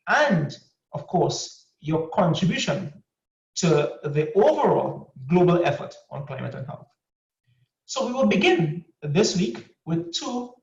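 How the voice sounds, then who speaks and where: A man hosting an online course session, giving a measured, formal introduction of a panelist over a video call. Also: high voice, creaky, a little mellow. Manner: measured, formal delivery with drawn-out phrases. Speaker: course host. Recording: distant, echoey, muffled video call audio.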